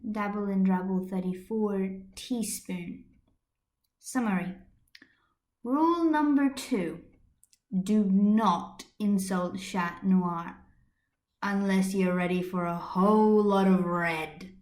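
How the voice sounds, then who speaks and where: A woman's voice: mid-range voice, slow pace, darkish tone, echoey room. A woman reading a fan story as an amateur narrator, recorded on a computer's built-in microphone. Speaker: amateur podfic reader.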